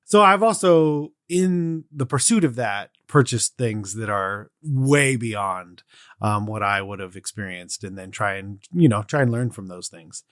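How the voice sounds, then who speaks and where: A man's voice: fairly low pitch, a little slow, no room sound. Casual male podcast co-host, dry sound.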